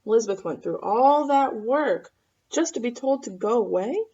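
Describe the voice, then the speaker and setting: A woman's voice: fairly high pitch, animated, moderate pace, dark tone, husky, dull audio. A woman reading a picture book aloud to children expressively, with asides and questions for the listeners. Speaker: storybook reader.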